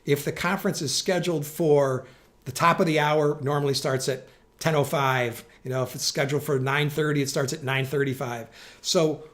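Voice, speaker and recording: A man's voice: a little high, steady tone, normal speed, fairly bright tone. A middle-aged man presenting practical tips in an organized, plain-spoken explainer style, stressing his key points. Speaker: presenter giving communication tips. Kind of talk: instructional explainer to camera.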